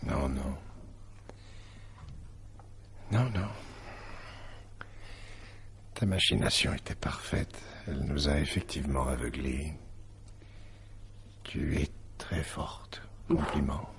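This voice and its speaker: An actor playing a bitter, wounded man turning coldly sardonic in a radio mystery drama, with halting, repetitive phrasing and a raspy, breathy voice. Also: rumbling low, slight background noise, full character voice. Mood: stunned, bitter. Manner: halting, sardonic, repeats words.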